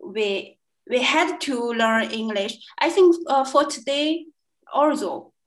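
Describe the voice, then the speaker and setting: A young woman from China with a Chinese accent, a guest in a casual interview, speaking haltingly with many fillers and restarts. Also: fairly high pitch, lively intonation. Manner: hesitant, many fillers and restarts, laughs often. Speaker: guest, language student.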